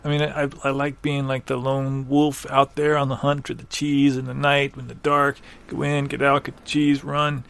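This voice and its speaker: A man playing an earnest, bumbling small-time crook in an improvised comedy sketch, talking in a halting, rambling way. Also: mid-range voice, completely flat delivery, creaky, fairly bright tone, clean tone. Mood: earnest, musing. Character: earnest, bumbling would-be cheese bandit named Johnny Cheese.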